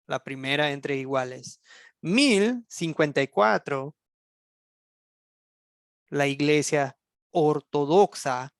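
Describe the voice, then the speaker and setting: A man from the United States, a native English speaker with an American accent, teaching an online church history class in Spanish. He talks haltingly, with many fillers and restarts. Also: on the high side, gravelly, no echo, clean studio sound.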